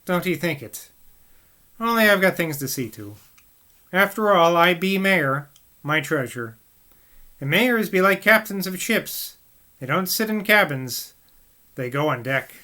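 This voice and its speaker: Folksy old mayor with a rustic West Country burr, read by a man, fond, bright tone. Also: high-pitched, expressive, measured pace, pauses often, full character voice. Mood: reassuring. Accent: rustic West Country English put on. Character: older male small-town mayor, fond and stubborn.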